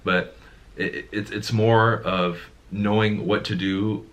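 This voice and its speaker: Male sales coach, very low and deep, emphatic, casual talk. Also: fairly even intonation, takes it slowly, a bit bright. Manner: emphatic, repeats words when making a point. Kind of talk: casual conversation.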